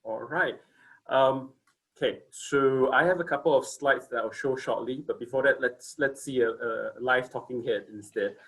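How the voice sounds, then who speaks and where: A man's voice: mid-range voice, normal speed, fairly bright tone, low-quality recording. A man in his forties from Singapore, giving a casual company presentation on a video call, hesitant with many fillers and restarts.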